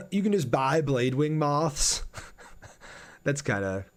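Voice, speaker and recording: A man's voice: on the high side, sing-song, slow pace. A man live streaming a game, thinking aloud in an informal way and chatting casually with viewers as he plays.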